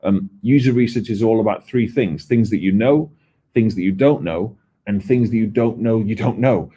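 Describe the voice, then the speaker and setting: Guy doing a conference talk, lowish mellow voice, lots of ums and restarts. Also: moderate pace, breathy edge. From Wales. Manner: conversational, with frequent ums and restarts. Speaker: user researcher presenting. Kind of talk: conference presentation.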